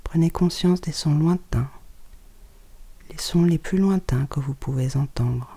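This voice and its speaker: A guided relaxation voice with a dark, mellow tone and a husky, breathy edge. The pitch sits in a middle range. The guide speaks softly and calmly, close to a whisper, giving short scripted instructions separated by pauses. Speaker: yoga nidra guide.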